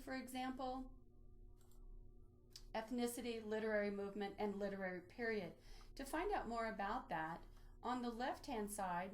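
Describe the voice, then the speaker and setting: Woman giving a step-by-step tutorial. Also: on the high side. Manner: methodical, step-by-step explaining. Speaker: presenter of a library database tutorial. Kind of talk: instructional screen walkthrough, lightly improvised.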